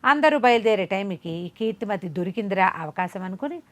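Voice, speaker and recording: A woman telling a folk tale in an animated, conversational way, with explanatory asides. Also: mid-range voice, a little fast. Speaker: storyteller.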